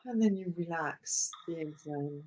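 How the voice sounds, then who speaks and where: A breathwork facilitator guiding a client through a breathing session with gentle instructions and spoken affirmations. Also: mid-range voice. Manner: slow, gentle, soothing instructions.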